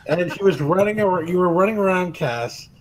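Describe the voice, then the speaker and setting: A man's voice: high-pitched, expressive, normal speed, a little hiss or hum, poor audio. A man talking casually in a group chat with friends.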